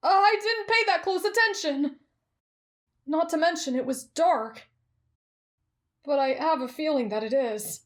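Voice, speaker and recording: A woman's voice: high voice, a little slow, long pauses. A woman voicing a polite, earnest, easily flustered teenage boy with a hesitant, apologetic manner in an anime roleplay. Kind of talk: anime roleplay ASMR acting.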